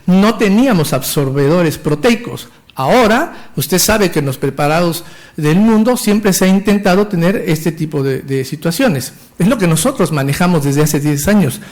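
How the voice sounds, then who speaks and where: A man's voice: a little high, animated, moderate pace, a little mellow. A man in his fifties with a Mexican Spanish accent, giving an enthusiastic and technical medical lecture with some stumbles and restarts. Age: 50s. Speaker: physician lecturer.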